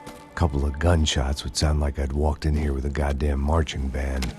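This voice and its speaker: An actor playing a cynical, middle-aged American ex-cop in a video game, speaking tersely with sardonic weariness. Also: male voice, rumbling low, level tone, moderate pace. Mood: grim, sardonic. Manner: terse, world-weary, sardonic. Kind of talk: video game dialogue and noir inner narration. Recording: loud music behind the voice.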